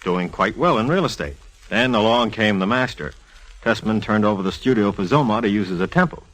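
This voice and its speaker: A man's voice: on the low side, expressive, fairly quick, fairly bright tone, rough recording. An actor playing an exasperated, teasing male police lieutenant with slangy, sardonic talk in an old radio drama. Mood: explaining. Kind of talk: 1940s radio drama acting. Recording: vintage radio recording.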